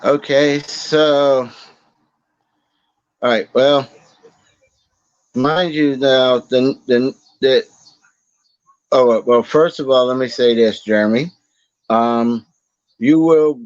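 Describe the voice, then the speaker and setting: A man, a guest telling his story slowly in a casual interview, halting with many fillers and restarts. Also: medium pitch, no room sound, muffled recording. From Boston, Massachusetts. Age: 40s.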